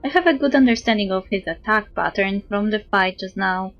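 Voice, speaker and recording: A woman's voice: medium pitch, brisk, highs cut off. A woman game streamer reading dialogue aloud and commenting in a playful, exclaiming manner. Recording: game music behind the voice.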